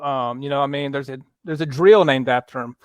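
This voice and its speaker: Smooth-voiced man hosting a sports chat. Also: mid-range voice, no room sound, clean studio sound. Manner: relaxed, halting, lots of fillers, reads viewer comments aloud. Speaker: podcast host. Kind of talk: casual sports chat on a livestream.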